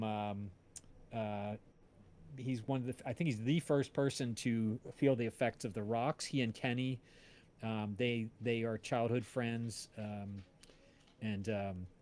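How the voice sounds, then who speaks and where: A man from New Jersey with an American accent, chatting casually and haltingly as a host, rambling as he searches for words. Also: mid-range voice, steady tone, a little mellow, slight background noise. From New Jersey, United States. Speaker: podcast livestream host and casting director. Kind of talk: casual chat.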